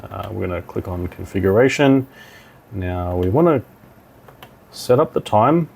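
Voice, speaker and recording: A man giving an informal software tutorial, thinking aloud as he instructs step by step. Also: fairly low pitch, expressive, unhurried, vocal fry, slight background noise. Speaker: technical demonstrator. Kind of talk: software tutorial walkthrough, talking while clicking.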